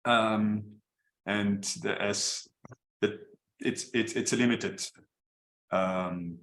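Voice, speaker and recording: A man with an Austrian accent introducing himself as chair on a video call, speaking in a halting way with many fillers. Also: lowish voice, steady tone, a bit bright, echoey room, rough recording. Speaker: chair of the association.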